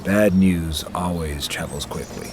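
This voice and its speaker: An actor playing a grim, sharp-tongued inquisitor in a video game, speaking tersely and dryly. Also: male voice, deep voice, unhurried, heavy background noise. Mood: dry, probing. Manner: terse, dry, matter-of-fact. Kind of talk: dramatic video game cutscene acting.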